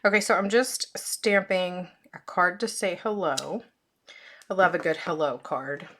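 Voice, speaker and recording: A woman with a hoarse, fading voice, chatting casually with frequent fillers as she demonstrates card-making at her crafting desk. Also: lowish voice, measured pace, a bit bright, slight background noise.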